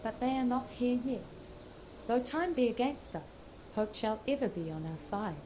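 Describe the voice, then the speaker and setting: A woman's voice: fairly high pitch, lively intonation, dark tone, a little hiss or hum, natural voice, poor audio. An actress playing an earnest, scholarly female sage in a fantasy game, pensive and formally spoken. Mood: solemn reflection. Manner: measured, pensive, archaic phrasing. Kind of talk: scripted fantasy game dialogue.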